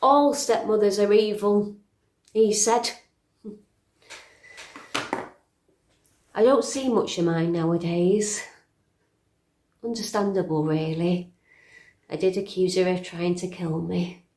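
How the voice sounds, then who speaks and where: In a roomy, echoing space, a woman acts a middle-aged fairytale princess looking back on her life. She talks slowly and confidingly, with dry, understated wit and a weary edge, as in an intimate stage monologue. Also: on the low side, warm tone, close to an everyday voice. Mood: dry, reflective. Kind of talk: dramatic monologue, conversational.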